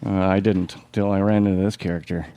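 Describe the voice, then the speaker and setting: An actor playing a blunt, skeptical, penny-pinching husband in a live radio play, with wry, slangy talk. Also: male voice, deep voice, little pitch movement, fairly quick, dark tone, clean tone, no echo, faint music behind.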